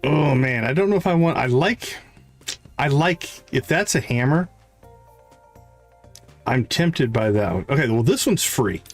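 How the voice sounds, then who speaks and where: A man livestreaming a game, thinking aloud in casual commentary and reading item stats in a deliberate, musing way. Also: mid-range voice, lively intonation, soft background music.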